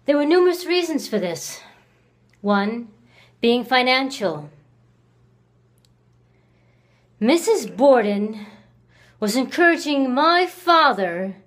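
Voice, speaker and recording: A woman acting a slow-spoken, resentful Victorian murder suspect. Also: a little high. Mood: indignant, agitated. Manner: slow, deliberate delivery with long pauses. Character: cold, reclusive, resentful accused murderess of the 1890s. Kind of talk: dramatic theatrical monologue.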